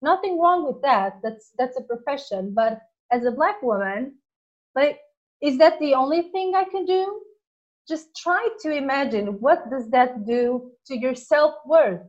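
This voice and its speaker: A woman's voice: sits high, lively intonation, unhurried, highs cut off. A woman with a Portuguese accent hosting a casual conversation, speaking earnestly and now and then searching for words. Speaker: host.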